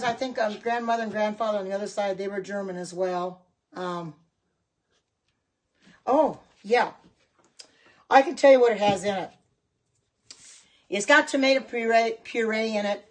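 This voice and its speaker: Chatty middle-aged woman livestreaming. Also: mid-range voice. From Oregon. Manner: chatty, reads and answers viewer comments. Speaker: livestream host and kitchen products seller. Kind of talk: casual livestream chat with viewers.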